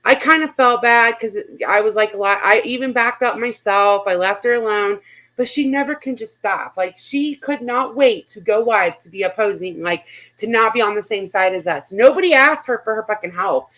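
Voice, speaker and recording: A woman's voice: fairly high pitch, normal speed, sharp tone, poor audio. A woman chatting casually as the host of a livestream panel, blunt and informal, swearing freely. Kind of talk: casual panel chat, gossip commentary.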